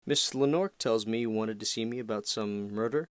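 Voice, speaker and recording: A man's voice: on the low side, brisk, clear, resonant tone, no room sound, close to an everyday voice. An actor playing a suave, evasive and sinister male surgeon in an audio play, with a smug, guarded delivery.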